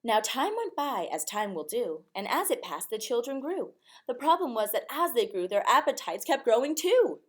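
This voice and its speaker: A woman reading a rhyming picture book aloud expressively, in a measured sing-song rhythm. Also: fairly high pitch, sing-song.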